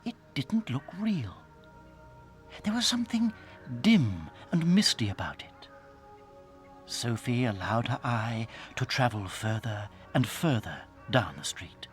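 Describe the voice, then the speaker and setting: A man with a British accent narrating a dramatized children's story in a suspenseful, theatrical way that can drop toward a hush. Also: mid-range voice, sing-song, takes it slowly, faint music behind. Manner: dramatic, suspenseful, hushing to near whispers.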